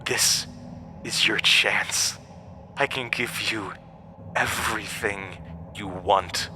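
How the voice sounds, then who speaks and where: An actor playing an ancient monster, a persuasive, scornful tempter who speaks with theatrical, deliberate emphasis in a horror audio drama. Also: male voice, fairly low pitch, fairly even intonation, over music. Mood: scornful, grand.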